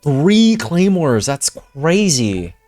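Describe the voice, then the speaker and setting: Excitable, animated young male streamer. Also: on the high side, drawn-out words, faint music behind. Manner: excitable, slangy, exclamatory. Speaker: streamer reacting to a game. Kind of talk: casual live gameplay reaction.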